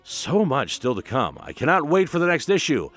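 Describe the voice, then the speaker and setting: A man's voice: fairly high pitch, very animated, normal speed, a bit bright, no echo, faint music behind. A man narrating a scripted comic book recap with informal commentary in a dramatic, emphatic way.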